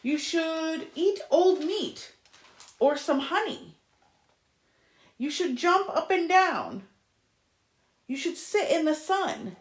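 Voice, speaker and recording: A woman's voice: very high voice, sing-song, slow pace, pauses often, voice pushed up, exaggerated voice. A woman voicing cheerful, pushy storybook animals, chirpy and insistent as they give advice. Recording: echoey room.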